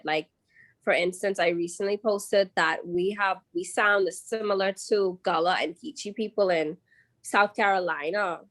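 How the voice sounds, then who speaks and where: Young bahamian woman chatting away in an interview, lots of likes and you knows, mid pitch. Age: young adult. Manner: chatty, many fillers and restarts. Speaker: guest artist. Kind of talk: casual interview answers.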